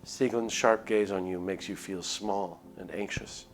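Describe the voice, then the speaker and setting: A man's voice: low voice, steady tone, measured pace. A man streaming a visual novel, reading the narration aloud expressively with casual asides. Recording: music under the voice. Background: game background music.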